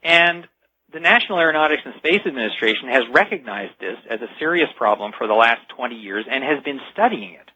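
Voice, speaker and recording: A man's voice: mid-range voice, expressive, brisk, bright, forceful tone, slightly rough, dry recording. A man speaking as a guest expert in a radio interview, explaining in an emphatic storytelling way with rhetorical build-ups, over dull lo-fi sound.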